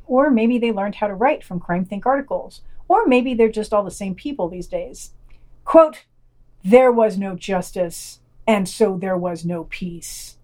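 A woman's voice: medium pitch, mellow. A woman hosting a podcast, reading scripted news summaries and quoted articles aloud. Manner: reads quotations aloud, announcing quote and unquote.

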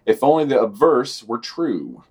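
A man's voice: medium pitch, big pitch swings, a little slow, breathy edge. A man co-hosting a podcast, reading out short news summaries with dry, wry comments. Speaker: podcast co-host.

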